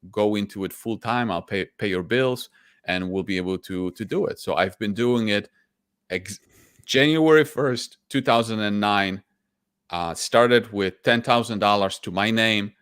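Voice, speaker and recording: A man's voice: lowish voice, a bit bright, no room sound, studio quality. A man, a guest candidly telling his story in an interview and often restarting his sentences. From Poland. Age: 40s. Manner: candid and reflective, with frequent restarts. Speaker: guest, stock investor.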